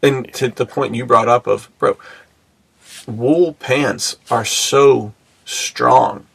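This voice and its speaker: Man with very creaky, gravelly voice. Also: mid-range voice, slow pace, dry recording. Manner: laid-back bro slang, frequent "like" and "dude". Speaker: podcast co-host. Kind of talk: casual chat.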